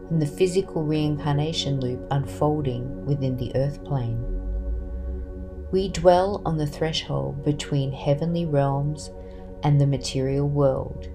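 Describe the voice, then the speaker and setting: Low, slow woman narrating solemnly. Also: mellow. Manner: solemn, measured, deliberate phrasing. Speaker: book excerpt reader. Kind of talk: scripted literary narration, prologue reading.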